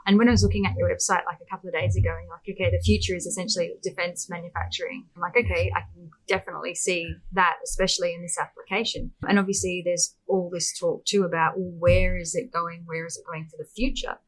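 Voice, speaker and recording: A woman hosting a podcast, chatting casually and informally as she interviews, with tentative, softened phrasing. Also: lowish voice, level tone, fast, few pauses, low-quality recording. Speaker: podcast host.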